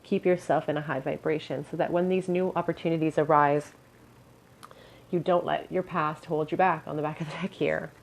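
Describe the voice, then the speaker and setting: A woman giving a pick-a-card tarot reading in a musing tone, her voice a little hoarse and scratchy, often clearing her throat. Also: low-pitched, fairly even intonation, moderate pace. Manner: musing, hedges with "I feel". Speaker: tarot reader.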